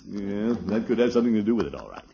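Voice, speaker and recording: An actor playing a pompous, easily flustered middle-aged uncle in a 1950s radio comedy, with a blustery, theatrical delivery. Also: male voice, on the low side, very animated, fast talker, gravelly, warm tone, a little hiss or hum. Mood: indignant. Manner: blustery, theatrical, chuckling, exclamatory. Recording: dull, muffled old radio recording.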